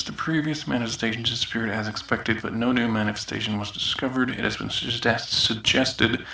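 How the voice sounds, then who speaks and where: A man's voice: fairly low pitch, vocal fry, bright, forceful tone. Guy playing a grumbly researcher dude reading a dry official log, kinda irritable, audio drama style. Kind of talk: scripted in-character document reading. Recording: noisy outdoors, later echoey bathroom.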